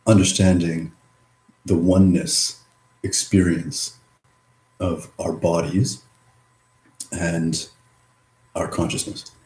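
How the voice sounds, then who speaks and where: A man giving a rambling, reflective vlog monologue, mumbling and halting, with drifting pauses between phrases. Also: deep voice, flat delivery, a little mellow. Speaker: vlogger. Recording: roomy echo.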